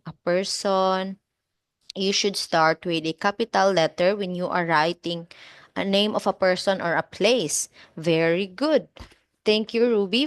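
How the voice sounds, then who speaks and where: Slow, patient woman teacher. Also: lowish voice, clear, resonant tone, no room sound. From Philippines. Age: 20s. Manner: patient, encouraging, explains step by step. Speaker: online English teacher. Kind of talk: one-on-one online English lesson. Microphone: video call.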